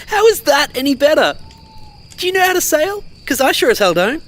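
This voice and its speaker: A man's voice: extremely high pitch, big pitch swings, normal speed, a bit bright, exaggerated voice. A man acting as a pragmatic, exasperated survivor in an audio drama, talking in a blunt, argumentative way. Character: Marcus, blunt pragmatic male survivor, exasperated but caring. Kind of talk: naturalistic audio drama dialogue.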